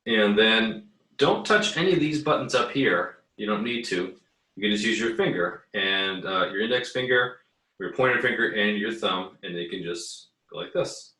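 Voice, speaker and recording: A man giving a casual, unscripted tutorial as an art teacher, haltingly thinking aloud as he works. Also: lowish voice, fairly bright tone. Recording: echoey, roomy sound.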